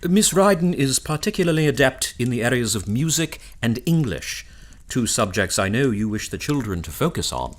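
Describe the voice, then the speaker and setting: Man acting a smooth victorian gentleman, bit sly, formal, very up and down delivery, audio drama. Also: medium pitch, sing-song, normal speed. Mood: assured.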